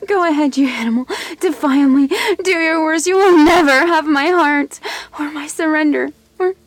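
Captive storybook princess for audio drama, played by a woman: very high, breathy, tearful yet defiant, unhurried, dry recording. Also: no echo. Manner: breathy, tearful, gasping, often whispering. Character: captive fairy-tale princess, pleading and defiant. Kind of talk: intimate audio roleplay acting.

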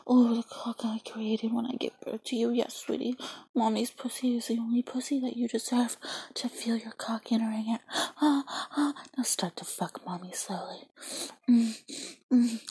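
A young woman voicing a doting, possessive mother figure in a whispered adult audio roleplay, speaking softly and breathily close to the mic. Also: a little high, breathy edge, dry recording, rough recording. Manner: soft, intimate, often whispering. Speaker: voice performer.

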